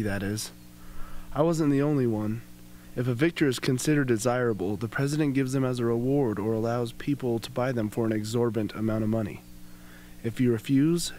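A young man playing a wounded, devoted young victor in an amateur radio play, speaking in a halting, solemn way. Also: mid-range voice, darkish tone, natural voice. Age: young adult. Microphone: close studio microphone on stand. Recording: faint hum and background noise.

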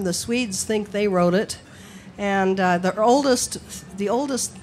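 A woman's voice: on the low side, a little hiss or hum. Older woman, hesitant stage talk. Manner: hesitant, wandering, many restarts. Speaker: fiddler panelist. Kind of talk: casual explanation on stage. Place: large tent at outdoor festival. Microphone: stand mic.

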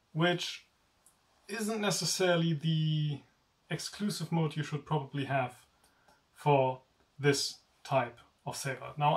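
A young man with a German accent, a native German speaker, chatting casually through a sword review in a room lined with swords, in a relaxed, self-deprecating way. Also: on the high side, distant mic. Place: indoor room with swords on wall rack. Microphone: camera at chest-up framing.

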